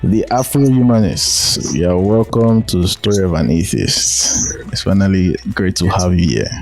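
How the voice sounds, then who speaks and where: A man's voice: low-pitched, expressive, normal speed, vocal fry, darkish tone, faint music behind, low-quality recording. A man with a Nigerian accent hosting a podcast in a casual interview style. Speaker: podcast host.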